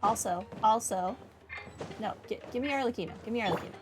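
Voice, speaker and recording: A woman's voice: a little high, quick pace. A woman giving casual, wry commentary on a video game playthrough, thinking aloud as she goes. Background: game music.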